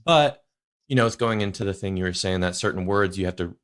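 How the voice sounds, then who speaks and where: A young male podcast host with a slightly low voice talks loosely and rambles. He leans on "you know" and "like" as he works toward his questions. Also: brisk, fairly bright tone. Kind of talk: casual interview chat.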